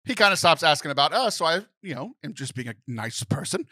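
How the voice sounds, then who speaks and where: A young man co-hosting a podcast, telling a story in a lively, animated way. He laughs often and mimics other people's voices. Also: fairly high pitch, fast talker, no room sound. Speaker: podcast co-host.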